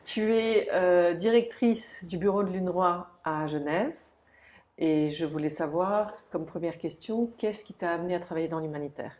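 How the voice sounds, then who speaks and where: A woman's voice: on the low side, normal speed, a little mellow. Woman host asking interview questions. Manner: direct, polite questioning. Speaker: podcast host.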